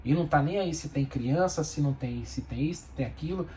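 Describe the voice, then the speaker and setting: A man's voice: fairly high pitch, a little fast, mellow, a little hiss or hum. A Brazilian man speaking Portuguese with a Brazilian accent, a bus driver giving his plain-spoken, opinionated views in a casual vlog and repeating himself for emphasis.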